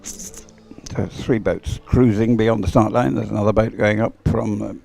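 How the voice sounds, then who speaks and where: A man's voice: fairly low pitch, fairly even intonation, unhurried, creaky, mellow. A man giving unscripted live commentary at a sporting event, rambling and hesitant with a dry grumble. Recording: live event feed with background music. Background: music playing over event sound system.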